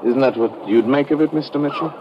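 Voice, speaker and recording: An actor playing a courteous, pompous expedition scientist in a 1950s radio drama, speaking formally and in measured phrases. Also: male voice, mid-range voice, natural voice. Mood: solemn. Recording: dull noisy old radio recording.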